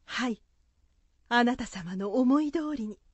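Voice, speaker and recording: A woman playing a devoted, gently teasing samurai's wife with polite formal speech in a radio drama. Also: a little high, expressive, takes it slowly, slightly rough, dry recording, exaggerated voice. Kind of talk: Japanese period radio drama acting.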